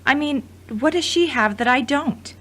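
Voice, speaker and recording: High, petulant jilted woman played by an actress. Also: moderate pace, fairly bright tone. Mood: hurt, indignant. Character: Charity, hurt, sulky, jealous rejected woman. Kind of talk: audio drama dialogue.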